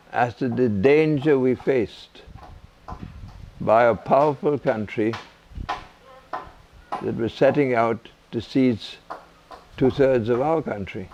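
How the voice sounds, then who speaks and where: A man, a communications consultant, speaking deliberately and gravely in an interview. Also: medium pitch, dull audio, noisy background. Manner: slow, deliberate, weighty.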